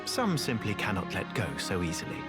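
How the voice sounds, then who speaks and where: A fawning, theatrical soldier-courtier with swooping delivery. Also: male voice, on the low side, very animated, a little fast, over loud music. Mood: scornful. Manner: flowery, obsequious, archaic formal speech. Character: fawning male soldier, unctuous and scheming courtier. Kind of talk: video game voice acting.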